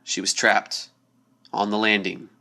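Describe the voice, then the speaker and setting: A man's voice: fairly low pitch, little pitch movement, unhurried, vocal fry, sharp tone. A man reading a scripted story aloud as narrator, in a dramatic storytelling style.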